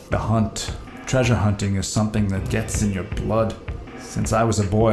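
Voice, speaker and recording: A man's voice: on the low side, roomy sound, close to an everyday voice. An actor playing a rugged middle-aged treasure hunter and boat captain in an audio drama, telling his story in a casual, reflective way. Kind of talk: naturalistic full-cast audio drama acting.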